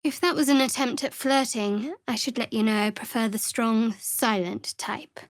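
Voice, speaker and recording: An actress playing a guarded, sardonic young female cleric of a dark goddess in a video game, speaking with dry, wry, clipped sarcasm. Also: on the high side, normal speed, no echo, natural voice. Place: dry studio recording.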